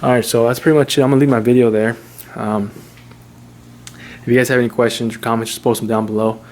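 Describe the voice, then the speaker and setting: A man giving a casual, unscripted how-to in an informal, halting, repetitive way, like a hobbyist vlogger. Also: medium pitch, flat delivery, a little mellow. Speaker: vlogger showing a DIY car install. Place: in and around a Jeep.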